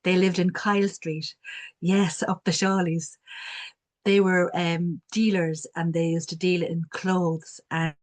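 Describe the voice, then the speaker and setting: A woman with a strong Cork accent talks on a video call, her pitch low-set and held fairly flat. She tells her stories in a reflective way, pausing now and then. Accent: strong Cork Irish. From Cork, Ireland. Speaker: community development worker. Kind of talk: online panel talk.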